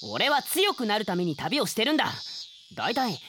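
A man acting a high-voiced, prickly young samurai. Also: huge pitch swings, moderate pace, background noise. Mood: scolding. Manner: brusque scolding retorts. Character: young male wandering samurai, serious, prickly, easily riled. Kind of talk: comic radio drama acting.

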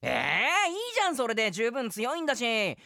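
A woman playing a carefree, flippant young male wanderer with rough boyish talk in a radio drama. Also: fairly high pitch, sing-song, fast, a bit bright, no room sound, studio quality. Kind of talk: animated radio drama acting.

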